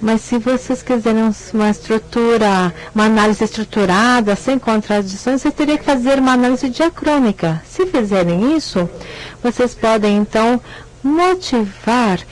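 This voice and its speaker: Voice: medium pitch, normal speed, low-quality recording. Interpreter relaying a lecture, hesitant. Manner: hesitant, self-correcting. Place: lecture hall.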